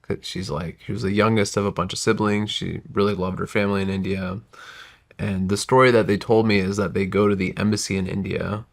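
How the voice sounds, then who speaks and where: Low, gravelly man telling his story. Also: level tone, a little fast. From United States. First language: Gujarati. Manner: reflective, winding, self-correcting narration. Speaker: podcast guest, entrepreneur. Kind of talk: casual interview storytelling.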